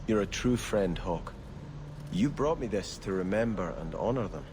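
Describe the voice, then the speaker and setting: An actor playing a devout exiled prince in a video game, earnest, formal and grieving. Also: male voice, lowish voice, lively intonation, moderate pace, noisy background, close to an everyday voice. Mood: solemn, grieving. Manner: solemn, formal.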